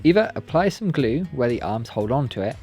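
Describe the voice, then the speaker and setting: A man's voice: medium pitch, sing-song, fairly quick, dark tone, faint music behind. A man giving scripted, step-by-step DIY tutorial narration in a careful, politely instructional manner. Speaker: presenter of a DIY build tutorial.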